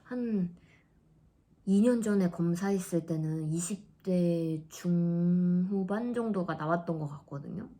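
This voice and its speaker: A woman's voice: on the low side, measured pace. Chatty woman in her thirties. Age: 30s. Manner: chatty, laughs often, soft asides. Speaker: vlogger. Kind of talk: casual vlog narration.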